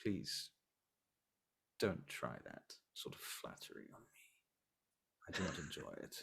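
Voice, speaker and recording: A man's voice: low voice, very animated, takes it slowly, gravelly, a bit bright, husky, full character voice. A man playing a cold, formal vampire prince. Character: suave imperious male vampire prince.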